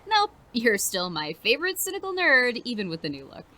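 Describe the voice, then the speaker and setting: A woman's voice: a little high, huge pitch swings, brisk, sharp tone, full character voice. A woman playing an earnest, teasing teenage girl student reporter, casual and affectionate, in an audio drama. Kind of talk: naturalistic audio drama acting.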